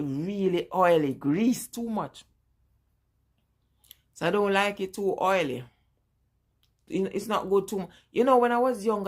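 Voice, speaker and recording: A Jamaican woman in her forties talks straight to her viewers in a relaxed live-stream chat. Her voice sits a little low, and she drives her points home by repeating them with emphasis. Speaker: vlogger.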